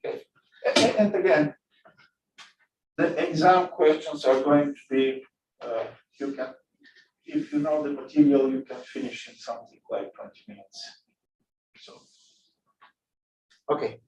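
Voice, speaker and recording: A man from Bulgaria teaching a physics class, talking in a halting way with many fillers and restarts. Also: on the high side, steady tone, a little mellow, slight background noise. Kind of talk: classroom lecture. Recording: echoey classroom, distant mic.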